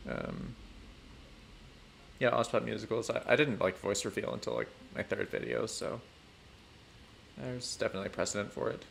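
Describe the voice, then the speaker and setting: A man chatting casually on a gaming stream, thinking aloud in a halting, rambling way with dry, self-deprecating humour. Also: on the low side, sing-song, vocal fry, slight background noise. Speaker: livestreamer playing a building game.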